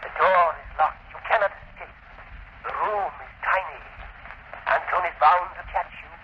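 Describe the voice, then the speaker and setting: An actor playing a small, cunning mad professor villain in a 1930s radio drama, menacing, taunting and theatrical. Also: male voice, high-pitched, moderate pace, natural voice. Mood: menacing, confident. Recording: dull, noisy 1930s radio recording.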